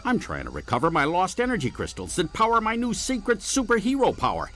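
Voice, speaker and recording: A crabby older cartoon superhero sidekick, talks in a fairly high male voice. His theatrical delivery swings wildly up and down, evasive and grumpy. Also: huge pitch swings, fast talker, a bit bright, full character voice. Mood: coaxing, testy. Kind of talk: animated game cutscene acting.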